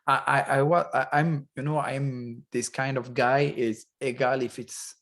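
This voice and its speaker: Halting man chatting. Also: mid-range voice, steady tone, a bit bright. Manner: halting, restarts often, searches for words. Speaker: podcast co-host. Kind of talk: casual chat.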